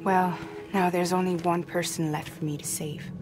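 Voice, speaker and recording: Woman acting a low-voiced defiant young heroine. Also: level tone, moderate pace, over music, close to an everyday voice. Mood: regretful, resolute. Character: wounded, defiant, resolute young woman courier. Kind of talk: cinematic video game drama.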